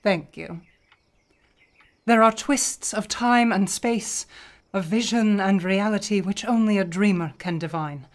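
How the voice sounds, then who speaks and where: An earnest, stubborn older woman scholar of the occult played by an actress in a radio play. She is firm and pointed, articulate, with a mid-pitched voice. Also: a little slow, close to an everyday voice. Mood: convinced.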